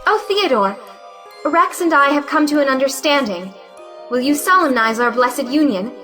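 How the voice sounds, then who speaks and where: Woman playing a sarcastic young bride, real high n bright, mocking, stagey radio play thing, bit of music. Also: animated, normal speed. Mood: cold irony. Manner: mocking, theatrical. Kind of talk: audio play acting.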